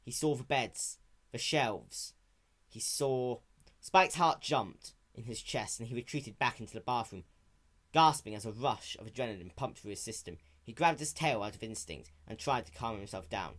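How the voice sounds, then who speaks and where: A man narrating a scripted story in a dramatic reading style. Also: mid-range voice. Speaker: narrator of a fan fiction reading.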